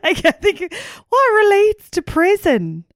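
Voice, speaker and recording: A woman's voice: very high voice, huge pitch swings, measured pace, dry recording, studio quality. A woman co-hosting a podcast, chatting casually and poking fun at herself as she plays a guessing game, swearing freely. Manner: playful, self-mocking, sweary. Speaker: podcast co-host. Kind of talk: casual banter while playing a guessing game.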